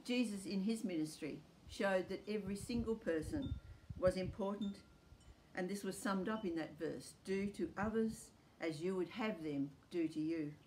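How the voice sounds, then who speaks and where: An older woman with an Australian accent gives a calm, earnest home church talk outdoors in a rural backyard. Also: medium pitch, moderate pace, slight background noise, poor audio. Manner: calm, earnest teaching delivery.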